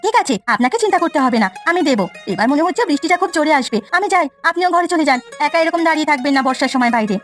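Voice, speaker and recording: A kind young village wife from a cartoon, voiced by a woman. She is earnest and trusting, with a high, lively, quick voice over music. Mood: obliging. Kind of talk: Bengali village cartoon voice acting.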